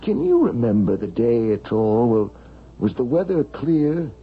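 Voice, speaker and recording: An actor playing a worried, earnest doctor in a 1970s radio drama, halting and emphatic. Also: male voice, medium pitch, sing-song, breathy edge, exaggerated voice. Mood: concerned, pondering. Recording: muffled, hissy vintage radio.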